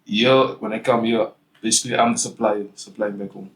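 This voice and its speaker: A young man from Africa, a guest in a casual interview, answering in a relaxed way. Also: on the low side, fairly quick. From South Africa. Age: young adult. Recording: echoey room.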